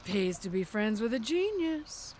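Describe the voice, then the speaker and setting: An actress playing a shrewd technical specialist in a game, speaking with deliberate confidence. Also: female voice, on the high side, big pitch swings, a little mellow, a little hiss or hum. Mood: assured. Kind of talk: video game dramatic dialogue.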